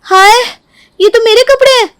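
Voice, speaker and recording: Woman acting a shrill, indignant young wife. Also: normal speed, fairly bright tone. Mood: shocked. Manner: shrill, plaintive, emphatic. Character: indignant, wounded young wife fond of fine clothes. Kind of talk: melodramatic Hindi story dialogue.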